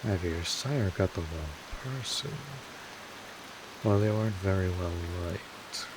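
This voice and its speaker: Male actor as seasoned vampire mentor for audio roleplay: deep, unhurried, wry, streetwise, patient with the newcomer, over steady rain-like hiss. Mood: wry, measured. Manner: wry, unhurried, casual, with swearing. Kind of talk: scripted audio roleplay, one-sided conversation to the listener.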